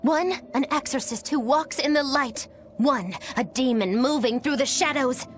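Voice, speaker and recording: Very high, theatrical riddling witch girl. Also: unhurried, slight background noise. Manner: theatrical, cryptic. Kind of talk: English video game voice acting. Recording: game music under the voice.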